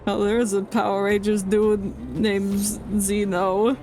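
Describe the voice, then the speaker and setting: A woman's voice: mid-range voice, little pitch movement, measured pace. A woman game streamer giving casual live commentary as she plays. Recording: game music behind the voice.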